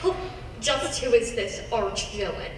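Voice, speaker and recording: Haughty, sceptical young lady played by a woman, tart and high, lively swings, theatrical radio drama. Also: a little slow. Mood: cool. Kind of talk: stage-like radio play acting. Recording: distant, echoey hall, noisy.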